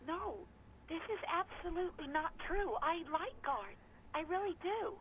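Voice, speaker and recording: A woman's voice: very high-pitched, expressive, moderate pace, narrow, tinny audio, a little hiss or hum. An actress voicing a young woman barkeep in a game, talking on the phone, reproachful and hesitant.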